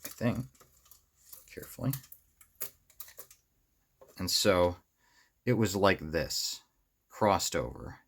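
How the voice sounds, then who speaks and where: Low-voiced American man, halting hands-on tutorial. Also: bright, forceful tone. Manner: halting, rambling, talks to himself while working. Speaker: host of a hobby electronics how-to series. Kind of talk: casual unscripted hands-on tutorial, thinking aloud.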